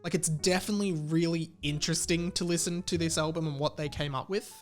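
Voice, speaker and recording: A man's voice: a little high, steady tone, quick pace. A man giving a casual, opinionated album review as the host, talking conversationally with wry amusement. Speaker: music reviewer and show host. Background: music under the voice.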